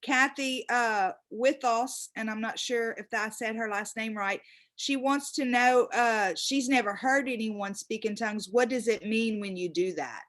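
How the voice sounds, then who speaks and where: A woman's voice: a little high, measured pace, fairly bright tone. A woman hosting a casual live chat in an agreeable, affirming way. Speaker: livestream host. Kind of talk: casual live chat, relaying viewer questions.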